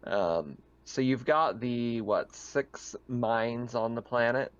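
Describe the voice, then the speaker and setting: A man acting as game master of a tabletop roleplaying game, narrating casually and haltingly, thinking aloud, over a slightly muffled online voice chat. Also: medium pitch, lively intonation, clear, resonant tone.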